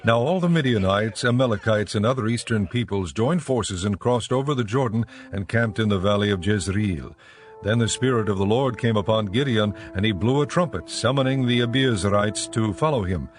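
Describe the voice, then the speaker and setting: A man narrating a dramatized audio Bible in a measured storytelling manner. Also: fairly low pitch.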